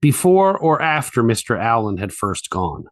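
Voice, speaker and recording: A man's voice: mid-range voice, very animated, moderate pace, lightly acted. A man playing a methodical, probing 1890s prosecuting attorney, questioning formally and precisely in a dramatized trial reading. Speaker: voice actor reading a trial transcript. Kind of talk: dramatized courtroom transcript reading, examination.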